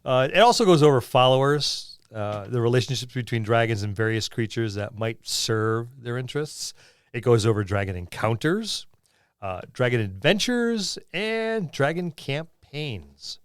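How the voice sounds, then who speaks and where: A man's voice: mid-range voice, expressive, normal speed, clean tone, no echo, clean studio sound. A middle-aged man co-hosting a podcast, walking through a gaming book in a rambling, jokey, casual way. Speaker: podcast co-host.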